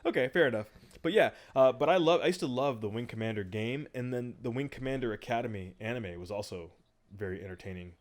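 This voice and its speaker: A man's voice: medium pitch, quick pace. A man co-hosting a podcast in casual chat, jokey and loose, with lots of filler words. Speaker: podcast co-host.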